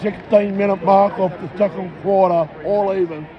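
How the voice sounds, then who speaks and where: A hoarse man with a worn-out voice, vlogging excitedly from a football stadium and shouting along with the game. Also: sits high, moderate pace, dull audio. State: hoarse, voice shot. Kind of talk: casual vlog chat at a match. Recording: loud stadium crowd and noise behind.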